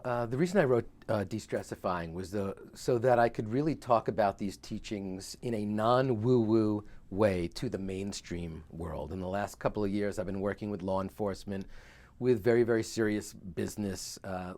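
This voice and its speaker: A man who is a guest author, talking in an earnest, rambling way with many pauses and fillers. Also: fairly low pitch, a little mellow. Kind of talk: relaxed interview talk.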